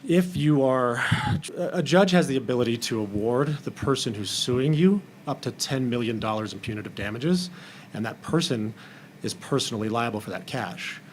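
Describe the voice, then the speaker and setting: A man's voice: mid-range voice, moderate pace, slight background noise. A man speaking candidly and informally as a producer in a roundtable discussion, explaining things in plain words. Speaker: film and TV producer on a panel.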